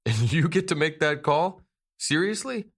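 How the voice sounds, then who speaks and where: A man's voice: fairly low pitch, animated, a little fast, fairly bright tone, pitched above the performer's own voice. A man voicing an angry male bandmate who makes demands and sounds incredulous.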